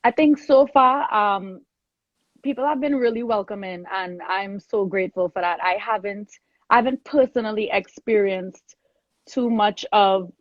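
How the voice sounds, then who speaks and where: A woman talks casually as an interview guest, effusive and grateful in manner. Her voice sits at a middle pitch, and the recording is close and dry with a slightly muffled, lo-fi sound. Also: steady tone, measured pace, no echo. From Trinidad. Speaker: soca singer, interview guest.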